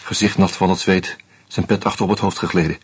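A man reading a first-person novel aloud in a calm, measured, subdued way. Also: low voice, slightly rough. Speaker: narrator.

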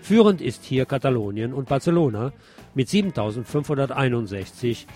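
A man's voice: medium pitch, expressive, brisk, a little mellow, faint music behind. A man presenting scripted radio news, reading reports and adding wry, sardonic asides.

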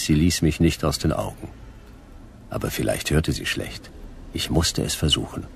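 An actor playing a dry, hardboiled private detective, laconic and deadpan, in a noir radio play. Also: male voice, rumbling low, gravelly, breathy edge, heavily acted. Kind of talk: first-person noir radio play narration and dialogue.

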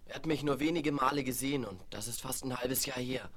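A man's voice: medium pitch, a little fast, a crowd in the background, lightly acted. A young man playing an eager, exclamatory teenage boy in a 1970s German children's radio play. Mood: impressed, subdued. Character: George, eager teenage boy home from boarding school. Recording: crackly 1970s vinyl rip with clicks.

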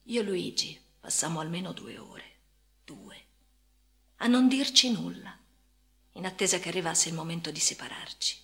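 A woman's voice: fairly low pitch, sing-song, moderate pace, long pauses, bright, forceful tone, full character voice. An actress playing a haunted woman patient in a first-person radio drama monologue, speaking intimately and often dropping toward a whisper. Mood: hushed, uneasy. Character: haunted woman patient recounting institutional life. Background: music.

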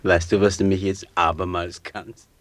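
An actor playing a blunt, contemptuous political hothead in a radio drama, curt, scornful and coarse. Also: male voice, low-pitched, flat delivery, moderate pace, no room sound, natural voice.

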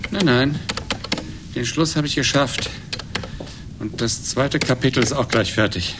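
A man playing a thoughtful, calmly defiant writer in a German radio play, with a reflective, measured manner. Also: mid-range voice, noisy background, lightly acted. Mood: busy, casual.

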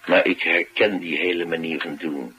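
Deep-voiced, streetwise driver, wry and brooding. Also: male voice, fairly even intonation, moderate pace, pushed and bright. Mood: wry, knowing. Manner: colloquial, confiding, musing. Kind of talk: naturalistic radio drama acting. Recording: noisy radio drama recording.

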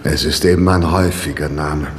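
An actor playing a gentle, devout priest in prison, pensive and deliberate, in 1960s German radio drama style. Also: male voice, very deep voice, fairly even intonation. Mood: wary questioning. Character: imprisoned devout priest, gentle, brooding, awaiting execution. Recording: reverberant, noisy old radio recording.

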